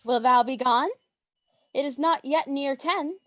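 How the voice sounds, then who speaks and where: A woman's voice: high-pitched, unhurried, dry recording. A woman playing a lovestruck teenage girl in theatrical Shakespearean verse, on a lo-fi online microphone. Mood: tender, coaxing. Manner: dramatic, theatrical delivery. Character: lovestruck teenage girl pleading with her boyfriend. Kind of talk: Shakespearean verse acting in an online staged reading.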